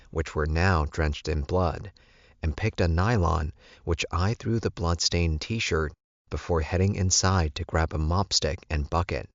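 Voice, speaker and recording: A man's voice: low-pitched, no room sound, muffled recording. A man reading scripted first-person fiction as an audiobook narrator.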